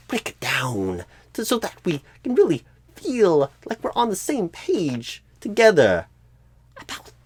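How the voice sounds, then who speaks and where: Man playing a husky, halting, clueless job recruiter. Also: medium pitch, darkish tone, dry recording, exaggerated voice. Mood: rambling, musing. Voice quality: breathy, husky, often dropping to a whisper. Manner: halting, oddly broken phrasing, rambling. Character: clueless, rambling, eccentric male job recruiter. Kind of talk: ASMR roleplay.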